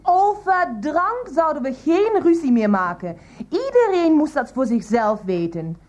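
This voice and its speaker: A woman's voice: high-pitched, big pitch swings, measured pace, a little mellow, dull audio. A woman playing a naive, pious, peacemaking member of a household in a radio drama, with earnest singsong delivery. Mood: earnest, admonishing.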